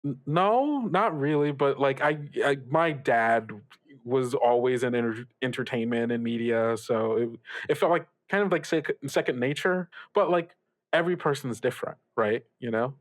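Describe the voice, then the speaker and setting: Radio mentor, male, acted: conversational, rambling, kindly but evasive, average pitch, very little room sound. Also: no echo, natural voice. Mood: halting reassurance. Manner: halting, rambling, stammering, gently advising. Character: reassuring older male radio mentor, upbeat, evasive about troubles. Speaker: student radio show host. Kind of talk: improvised actual-play audio drama, conversational.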